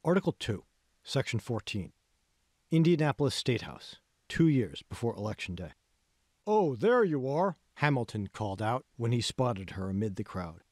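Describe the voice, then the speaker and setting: A man's voice: lowish voice, expressive, moderate pace, a little mellow, no echo, studio quality. A man reading a novel aloud as a scripted audiobook narrator. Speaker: author reading own audiobook.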